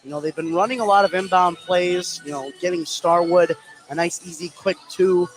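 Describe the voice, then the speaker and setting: A man doing live play-by-play radio commentary on high school basketball, mixing game calls with conversational banter. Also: fairly even intonation, normal speed, rough recording.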